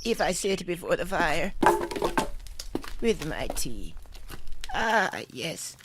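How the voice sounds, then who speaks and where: A woman's voice: lowish voice, very animated, takes it slowly, very noisy. A woman voicing a very old, fussy woman who mutters to herself in an audio drama. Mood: muttering, wondering. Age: older.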